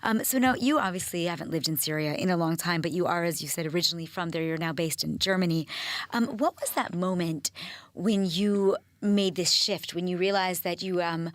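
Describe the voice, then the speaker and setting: A woman anchoring a news interview, asking brisk, probing questions. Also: fairly low pitch, dry recording, studio quality.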